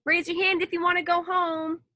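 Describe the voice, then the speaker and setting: A woman's voice: extremely high pitch, flat delivery, normal speed, pushed and bright, no echo, voice pushed up. A woman voicing an eager, mischievous second-grade child in a storybook, full of exclamations. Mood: excited. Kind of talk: acted storybook dialogue.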